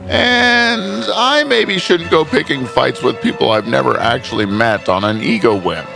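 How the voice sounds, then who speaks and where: A man's voice: medium pitch, expressive, unhurried, vocal fry, over music. A man voicing a vain, sheepish giant husband in a storybook drama, self-satisfied but grudgingly grateful.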